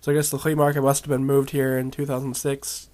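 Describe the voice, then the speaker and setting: A man with a hoarse, raspy voice chatting casually as he explores a virtual world. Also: mid-range voice, monotone, fast talker, a little mellow. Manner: rambling, chatty, with coughs and laughs. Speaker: video game vlogger. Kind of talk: casual commentary while exploring a game.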